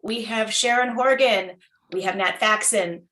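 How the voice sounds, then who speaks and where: A woman's voice: medium pitch, measured pace, fairly bright tone, recorded from a distance. A woman casually introducing a cast at a table read, recorded in a roomy space away from the microphone.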